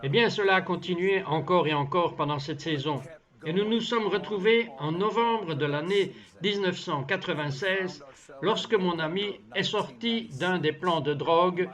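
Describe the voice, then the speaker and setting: A man with a notably high voice reads a dubbed voice-over of a personal testimony. His delivery is earnest and measured, and the sound is somewhat dull and muffled, like a lo-fi recording. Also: a bit bright. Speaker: voice-over translator.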